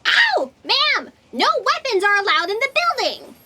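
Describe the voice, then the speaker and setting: Chirpy naive underling, extremely high voice, bright, swooping intonation, comic audio drama. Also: female voice, wildly swooping pitch, moderate pace, exaggerated voice. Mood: perky, flustered. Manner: eager, guileless. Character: chirpy, naive, hapless female cafeteria underling.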